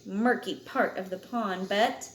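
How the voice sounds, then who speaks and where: A woman's voice: medium pitch, fairly even intonation, a little slow, echoey room. A woman reading a picture book aloud expressively, as a storyteller to a child, with dramatic emphasis on key words.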